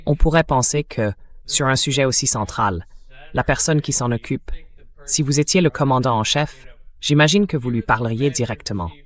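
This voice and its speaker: Mid-pitched synthetic man discussing politics. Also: moderate pace. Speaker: show guest and commentator.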